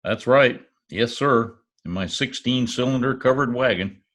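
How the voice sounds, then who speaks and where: A man's voice: mid-range voice, a little slow, fairly bright tone. A man playing a wry, wisecracking private detective who poses as a lonely oilman, in old radio drama style. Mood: folksy, playful. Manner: wry, quipping. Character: wisecracking male private eye posing as lonely Oklahoma oilman. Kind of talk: 1940s radio detective acting, first-person narration. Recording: remote video-call recording with music.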